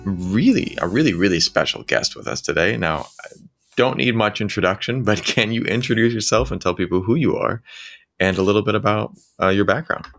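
A man's voice: fairly low pitch, fairly quick, creaky, fairly bright tone. A middle-aged man hosting a podcast over a video call from a home music room, enthusiastic and often repeating words. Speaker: podcast host. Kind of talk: casual interview hosting. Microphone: video call webcam.

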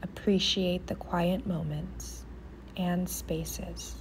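A woman leading a guided meditation in a soft, soothing, often near-whispered voice with pauses. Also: lowish voice, fairly even intonation, a little hiss or hum.

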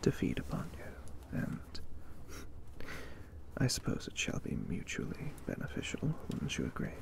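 A man voicing a gentle, courtly vampire catboy in a breathy, soothing ASMR roleplay, formal in his wording. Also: background noise, natural voice. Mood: soothing, wistful. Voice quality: breathy whisper. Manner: slow, hushed, formal and soothing. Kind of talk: soft whispered ASMR roleplay.

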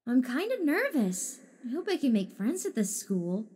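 A woman's voice: high voice, big pitch swings, moderate pace, darkish tone, a little hiss or hum. A woman playing a curious, eager teenage monster girl with a youthful, inquisitive manner in an audio drama. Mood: nervous, hopeful.

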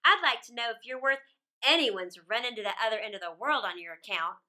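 A woman's voice: fairly high pitch, animated, fairly quick, bright, forceful tone, pitched below the performer's own voice. A woman voicing a brash, cheeky young robber girl in a children's storybook, speaking bluntly and teasingly with emphasis. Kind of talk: children's storybook read-aloud.

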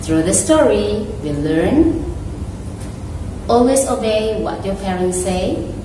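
A woman's voice: medium pitch, lively intonation, over music. Echoey, distant woman teacher addressing children. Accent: Indonesian. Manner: slow, simple, careful speech. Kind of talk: talking to children on camera. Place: indoors, seated. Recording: very echoey, distant, noisy room.

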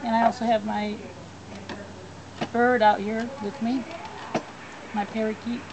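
A woman's voice: fairly high pitch, flat delivery, a little slow. A woman giving plain step-by-step cooking instructions in a backyard. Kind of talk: cooking demonstration. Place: backyard outdoors. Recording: outdoor background noise.

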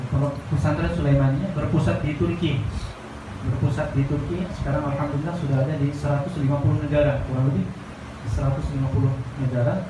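A young Indonesian man giving an earnest religious talk to a seated gathering, repeating phrases for emphasis. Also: fairly high pitch. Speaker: Islamic boarding school teacher. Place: crowded indoor room, seated gathering. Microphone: handheld microphone through speakers, filmed from across room. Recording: echoey noisy room, far from mic.

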